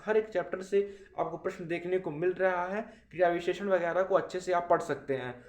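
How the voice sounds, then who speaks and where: A man giving an informal exam analysis to students as a coach, explaining things with repetitive phrasing. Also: sits high. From India. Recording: roomy echo.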